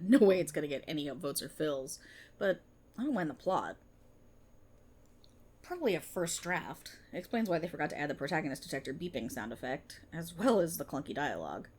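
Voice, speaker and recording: Woman acting a smug, theatrical policewoman, swooping pitch. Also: a little mellow, husky, full character voice. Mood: dry mockery. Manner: theatrical, sarcastic, mocking. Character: smug, vindictive female multiverse police officer interrogating a writer. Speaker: ASMR roleplay performer. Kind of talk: ASMR roleplay acting.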